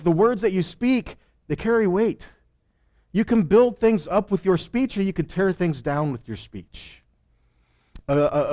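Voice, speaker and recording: A man's voice: on the high side, darkish tone. A man preaching an informal Bible teaching in an emphatic, conversational way, often tossing out rhetorical questions.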